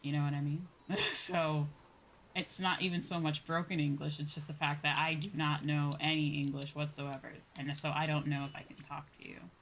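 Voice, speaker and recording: A woman's voice: low-pitched, little pitch movement, normal speed, fairly bright tone, a little hiss or hum. A woman livestreamer chatting casually with viewers while gaming, thinking aloud in a rambling way and often trailing off. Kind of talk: casual chat with viewers while streaming a game.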